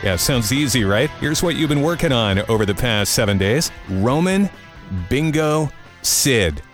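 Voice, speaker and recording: A man's voice: medium pitch, animated, unhurried, faint music behind. A man hosting a radio-style morning show podcast, with a jokey, self-deprecating, upbeat delivery. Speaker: podcast host.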